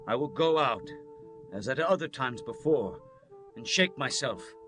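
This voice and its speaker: A man's voice: mid-range voice, expressive, measured pace, natural voice. An actor playing a blinded Israelite strongman, weary, pleading and defiant, in a dramatized scripture reading with music behind him. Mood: confident.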